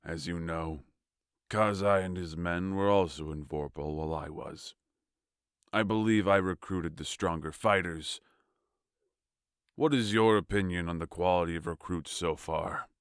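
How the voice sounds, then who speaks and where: Man acting as a deep, cold warlord king. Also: clear, resonant tone, dry recording, clean studio sound. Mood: probing, measured. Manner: measured, authoritative, formal. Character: large, tall warlord king, cold, commanding, ruthless strategist. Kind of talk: audio fiction acting.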